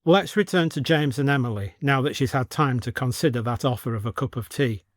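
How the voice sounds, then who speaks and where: A man's voice: mid-range voice, a little fast, dry recording. A man reading scripted narration for an audio story as the storyteller.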